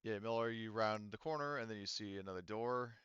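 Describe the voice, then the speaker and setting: Male game master, clear lower voice, improvised halting narration, close dry sound with slightly muffled top end. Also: fairly bright tone, dry recording.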